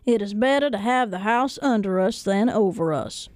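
A woman voicing an excitable, naive, kindly married man in a folktale, earnest and exclamatory. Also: mid-range voice, normal speed, no echo, lightly acted. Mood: reassuring.

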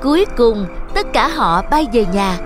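A woman's voice: fairly high pitch, expressive, unhurried, music in the background. A woman reading scripted fairy tale narration for children.

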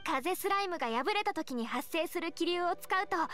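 A very high-pitched, cheerful girl knight. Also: brisk, faint music behind, full character voice. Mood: excited. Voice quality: bright girlish anime voice. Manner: chirpy, earnest, expressive. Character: cheerful, eager young female knight scout. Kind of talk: Japanese anime game voice acting.

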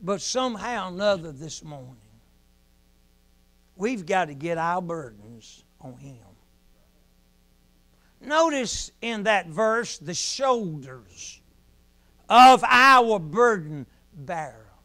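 A middle-aged man preaching a sermon from the pulpit of a small church. He swings between loud, shouted lines and quiet, hushed asides. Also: high-pitched, very animated, takes it slowly, long pauses. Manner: preaching in rising shouts, then dropping to a hush.

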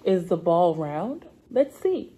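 A woman's voice: lowish voice, very animated, takes it slowly, dark tone, slightly rough. A young woman speaking as a preschool teacher, reading aloud to small children in simple, repeated, stretched-out phrases. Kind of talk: children's read-aloud lesson. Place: indoor classroom.

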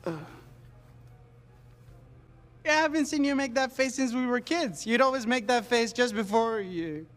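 A scheming young man in a video game. He is cocky and excitable, boasting one moment and wheedling the next in a whiny way. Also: extremely high pitch, fairly quick. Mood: placating.

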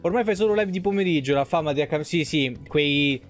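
A man's voice: a little high, a little fast, faint music behind. A young man, chatting casually on a game livestream in an informal, rambling, sweary way. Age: young adult. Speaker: video game streamer. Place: small room indoors at a streaming desk. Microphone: desk microphone close up, with headset.

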